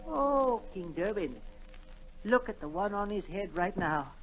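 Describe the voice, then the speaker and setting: A marveling, obsequious royal courtier in a 1940s radio drama. The delivery is dramatic and deferential to the crown. The old recording sounds lo-fi and dull. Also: male voice, sits high, expressive, a little slow. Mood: awed, excited. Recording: dull, lo-fi 1940 radio broadcast.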